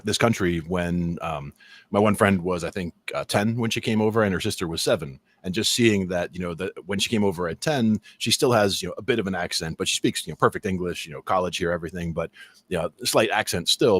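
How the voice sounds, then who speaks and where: A man's voice: low-pitched, no echo. A man from New Jersey with a mild New Jersey accent, chatting casually as a podcast co-host, rambling and laughing often. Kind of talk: casual chat.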